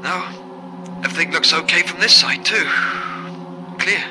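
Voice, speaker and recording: An actor playing a lonely, jittery British astronaut in a radio drama, muttering haltingly to himself. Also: male voice, sits high, over music, close to an everyday voice. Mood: wry, wary. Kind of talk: internet radio drama monologue.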